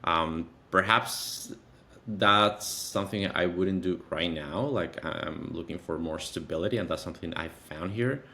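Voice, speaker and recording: Male, 30s, Venezuelan accent, native Spanish speaker, deep register, halting conversational interview delivery with repeated phrases. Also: gravelly, pushed and bright. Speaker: interview guest, content creator.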